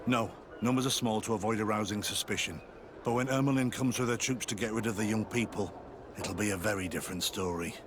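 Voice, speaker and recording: A male actor plays a guilt-ridden sergeant turned secret informant in a video game. His low voice is earnest and guarded, as if confiding. Outdoor noise runs clearly behind him. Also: fairly quick, lightly acted. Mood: urgent. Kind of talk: video game cutscene acting.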